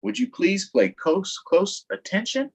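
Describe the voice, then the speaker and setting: A man voicing an excitable, folksy swamp boat guide in a storybook, wary of a gator and speaking with exaggerated delivery. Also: sits high, unhurried, vocal fry, slightly rough, pitched above the performer's own voice, heavily acted. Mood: cautioning.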